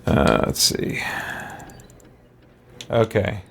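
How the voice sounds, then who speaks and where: A man giving casual let's play commentary as a video host, thinking aloud with muttered asides and exclamations. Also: drawn-out words.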